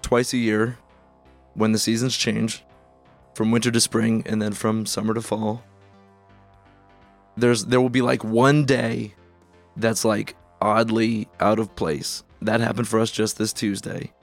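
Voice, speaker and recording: A man's voice: lowish voice, little pitch movement, a little slow, vocal fry, soft background music. A man hosting a casual chat, congested and losing his voice, talking in loose rambling bursts. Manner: rambling, filler-heavy. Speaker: podcast host.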